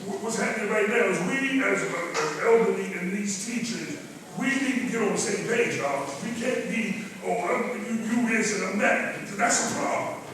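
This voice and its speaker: A man's voice: sits high, animated, normal speed. A man giving an impassioned, preachy speech at a community meeting, emphatic and full of street slang. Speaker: community group member. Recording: echoey room, distant mic, crowd.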